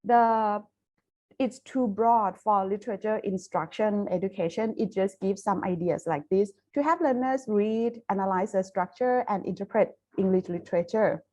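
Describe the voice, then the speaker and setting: A Thai woman with a Thai accent, speaking English as a foreign language, giving a careful academic webinar presentation with frequent pauses and restarts over a video call. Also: mid-range voice, dark tone. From Thailand. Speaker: university lecturer presenting research.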